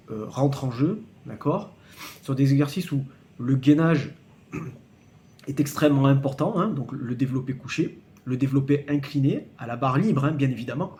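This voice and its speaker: A man's voice: medium pitch, mellow. A man in his late forties talking casually to camera on his fitness channel, often hesitating, repeating words and checking in with the listener. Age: 40s. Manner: emphatic, cautionary tone when warning viewers. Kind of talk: casual explanatory vlog to camera.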